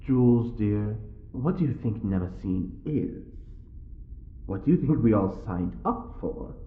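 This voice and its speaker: An actor playing a smug, well-bred prisoner with a sly wit, speaking in an arch, mock-polite drawl in an audio drama. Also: male voice, on the low side, expressive, a little slow, reverberant, narrow, tinny audio, a little hiss or hum. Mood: sly, persuasive. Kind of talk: scripted audio drama acting.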